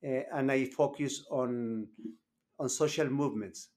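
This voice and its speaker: A man's voice: mid-range voice, steady tone, unhurried, darkish tone. A man, a graduate student guest, speaking hesitantly and often repeating words as he searches for phrasing. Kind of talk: conversational interview.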